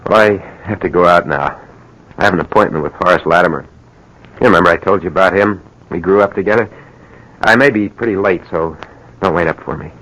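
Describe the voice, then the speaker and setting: An actor playing a desperate working-class American locksmith in an old-time radio drama, blunt and urgent. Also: male voice, low voice, fairly quick, a bit bright, a little hiss or hum, rough recording. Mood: subdued, reassuring. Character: desperate working-class locksmith man begging for money, bitter.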